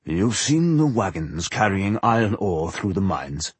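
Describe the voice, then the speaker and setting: Man playing an old, husky dwarf king. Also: deep voice, very animated, measured pace, no room sound, exaggerated voice. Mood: knowing, proud. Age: older. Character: aged, frail dwarf High King, wise and measured.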